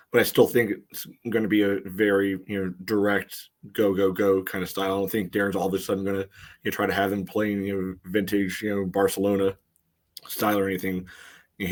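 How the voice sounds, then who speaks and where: A man giving casual sports analysis as a podcast co-host, in a halting, conversational way. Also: on the low side.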